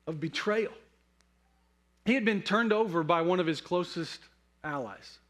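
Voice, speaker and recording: A middle-aged man with a notably high voice preaches like a pastor. He talks in a conversational, storytelling way. He slows into dramatic pauses to give key words weight. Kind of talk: sermon with personal storytelling.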